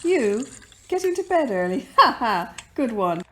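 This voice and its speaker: A woman's voice: on the high side, sing-song, unhurried, pushed and bright, exaggerated voice. A woman acting a chatty, familiar pub server who banters with her regulars, in an amateur audio drama. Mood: teasing. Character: chatty, teasing woman serving at a busy pub.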